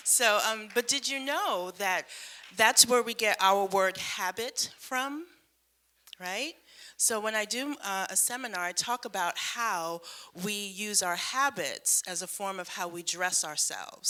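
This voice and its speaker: A woman's voice: mid-range voice, sharp tone. A woman in her forties speaking as a panelist and networking consultant to students. She is upbeat and quizzes the audience. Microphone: microphone at a panel table.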